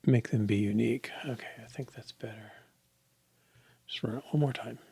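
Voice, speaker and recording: A man's voice: medium pitch, fairly even intonation, moderate pace, gravelly, mellow, slightly rough, no room sound. An older man thinking aloud while coding live in a small home office, dropping into half-whispered asides. He says his voice is a bit off. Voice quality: voice a bit off, slightly strained. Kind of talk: casual live coding commentary.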